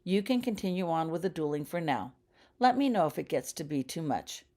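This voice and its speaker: A caring grown wizard, played by a woman reading a storybook speaks in a low register. He is calm and serious, putting measured, probing questions with gentle concern. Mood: serious, reassuring. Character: caring adult male wizard cousin, calm, grave and probing. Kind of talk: audiobook character dialogue.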